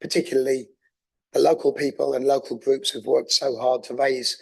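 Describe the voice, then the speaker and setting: Male councillor, hoarse and raspy after a cold, gravelly, earnest persuasive debate speech. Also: medium pitch, little pitch movement, moderate pace, a little mellow, poor audio. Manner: earnest, persuasive, with some restarts. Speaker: councillor moving a motion. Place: council chamber.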